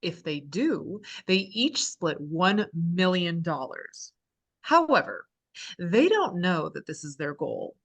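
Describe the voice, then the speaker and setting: A woman playing a chipper, sardonic reality show host in a scripted table read, presenting brightly and slipping into sarcastic asides. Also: lowish voice, very animated, takes it slowly, exaggerated voice. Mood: upbeat presenting.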